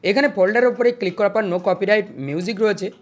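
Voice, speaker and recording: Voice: medium pitch, fairly quick. A tutorial host narrating screen steps in an instructional way, repeating each step before moving to the next.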